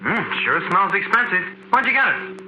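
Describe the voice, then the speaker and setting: A man's voice: high voice, very animated, normal speed, sharp tone, full character voice. An actor playing a cheeky young elevator boy, teasing and drawling, in an old-time radio drama. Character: cheeky young wise-kid elevator operator. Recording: noisy vintage radio recording.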